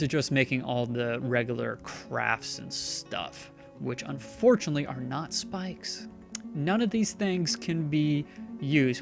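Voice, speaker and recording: Male streamer, gravelly, rambling sarcastic commentary, faint guitar music underneath. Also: medium pitch. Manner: chatty, sarcastic, talks back to the game's characters. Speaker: streamer playing a game.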